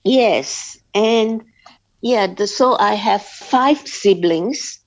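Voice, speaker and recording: A woman from Singapore telling her personal faith story as an interview guest, speaking haltingly with many pauses and restarts. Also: medium pitch. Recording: slightly dull lo-fi sound.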